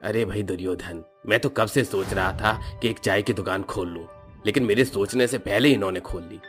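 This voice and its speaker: A man's voice: lowish voice, normal speed, soft background music, lightly acted. A man voicing an envious village shopkeeper who grumbles and schemes. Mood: scheming. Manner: grumbling, conspiratorial.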